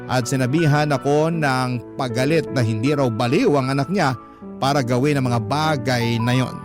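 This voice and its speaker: A man's voice: mid-range voice, normal speed, lightly acted. Actor as disheartened Filipino man narrating over music. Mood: frustrated. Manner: confiding, resigned. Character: disheartened man recounting his troubled relationship. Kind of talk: first-person dramatic narration for radio.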